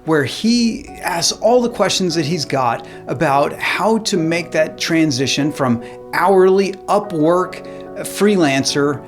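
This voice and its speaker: A man hosting a coaching call in an upbeat way, talking in quick bursts with frequent fillers. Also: a little high. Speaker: host and business coach.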